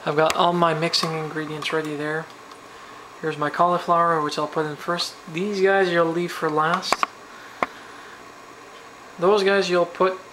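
A woman hosting a home cooking video, relaxed as she explains each step at the stove in her kitchen. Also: sits low. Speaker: cooking show host. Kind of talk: casual cooking demonstration. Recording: home video with loud frying noise. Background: loud sizzling from frying.

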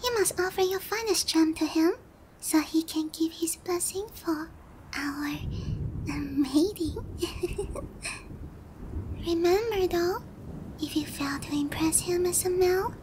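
A woman's voice: very high-pitched, a little slow, darkish tone, slight background noise. A woman voicing a haughty, flustered, cutesy young dragon girl in an anime ASMR roleplay, condescending yet hesitant. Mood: nervous, coaxing. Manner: condescending, hesitant with giggles and murmured asides. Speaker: voice actor.

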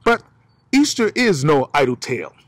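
A man in his fifties preaching a sermon outdoors as pastor, with dramatic emphasis and slow stresses, filmed on a camera held at arm's length. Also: fairly high pitch, sing-song, a bit bright, no echo, studio quality. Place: outdoors by pine trees. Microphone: phone or camera at arm's length.

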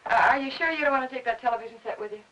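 An American woman in her thirties playing a devoted, anxious housewife in a 1950s film drama, speaking in a gentle, earnest way. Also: on the high side, very fast, background noise, lightly acted. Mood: kindly, cheerful. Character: anxious, devoted young housewife, kindly and polite. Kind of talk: 1950s Hollywood film drama acting, with voice-over narration. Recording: 1950s film soundtrack with music underneath. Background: orchestral film score.

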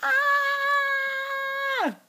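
A woman's voice: very high-pitched, monotone, drawn-out words, pushed and bright, voice pushed up, heavily acted. A woman voicing a distressed, incredulous male elephant from a picture book, full of exclaiming questions. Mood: alarmed, incredulous.

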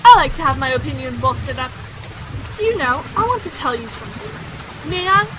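High-voiced, chatty young society woman, played by an actress. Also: a little fast, background noise. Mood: confiding. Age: young adult. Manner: breathlessly talkative, emphatic, dramatic. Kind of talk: audio drama acting, period dialogue. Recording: steady rain sound effects behind.

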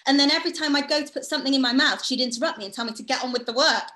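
A woman's voice: sits high, rapid-fire, pushed and bright. A young woman, an actor, talking earnestly and thoughtfully about a film role on a video call. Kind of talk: casual chat in a video call Q&A. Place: indoor room at home. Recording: roomy video call audio.

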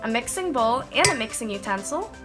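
Young woman, high voice, getting over a cold, bubbly, tutorial host, background music. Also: big pitch swings, fairly quick. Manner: bubbly and enthusiastic, explaining step by step. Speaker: slime-making channel host.

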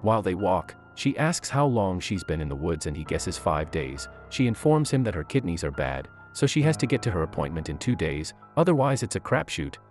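A man narrating a movie recap in a scripted, steady storytelling manner. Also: low voice, brisk, hardly any pauses, soft background music.

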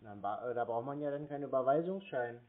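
A man's voice: medium pitch, expressive, fast. A man in a casual phone conversation, playing an obtuse customer who stalls and answers with questions. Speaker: prankster playing a clueless customer. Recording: narrow phone-like sound.

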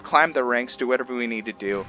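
A man giving casual, halting commentary as he explains his roleplay video game playthrough. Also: medium pitch, pushed and bright, muffled recording. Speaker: gamer narrating a roleplay playthrough. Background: faint game music.

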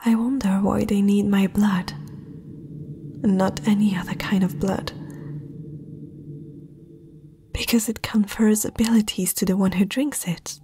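A woman playing a young princess, haughty yet spellbound, in a breathy, hesitant whisper for an ASMR fantasy roleplay. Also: mid-range voice, fairly even intonation, dark tone, slight background noise, lightly acted. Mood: entranced, compliant. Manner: slow, hesitant, dreamy delivery.

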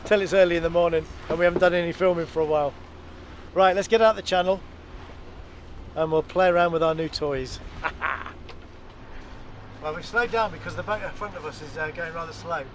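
A man's voice: on the high side, a little fast, pauses often, a little hiss or hum. A middle-aged man hosting his sailing vlog, chatting to the camera and calling tacking commands in a boat cockpit. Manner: chatty, gives calm helm commands. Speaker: boat owner and channel host. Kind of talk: casual vlog narration and sailing instruction. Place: open sailboat cockpit on the water. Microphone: action camera on the boat.

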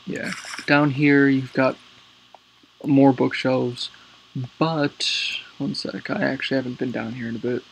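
A man casually walking through his game build, rambling and hesitant with many pauses, his voice a little raspy because he is lying down. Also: medium pitch, slight background noise, low-quality recording. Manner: rambling, hesitant, lots of ums.

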